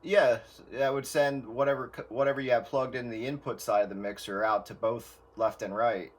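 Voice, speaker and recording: A man's voice: medium pitch, fairly even intonation, normal speed, noisy background. A man giving casual, explanatory technical advice in an informal chat over the radio. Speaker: audio gear hobbyist giving advice.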